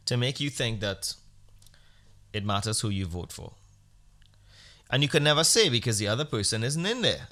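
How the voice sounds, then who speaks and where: A man's voice: mid-range voice, bright, forceful tone. A man hosting a podcast, talking in a blunt, sarcastic rant. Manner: blunt, ranting, sarcastic, profane. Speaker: podcast host. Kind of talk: casual opinionated podcast monologue.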